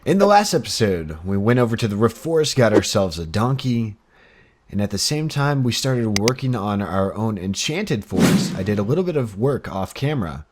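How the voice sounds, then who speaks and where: A man's voice: fairly low pitch, sing-song, moderate pace, slight background noise. An eighteen-year-old man hosting his own game channel, talking casually and rambling upbeat with lots of fillers. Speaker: gaming channel host. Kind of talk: casual Minecraft commentary.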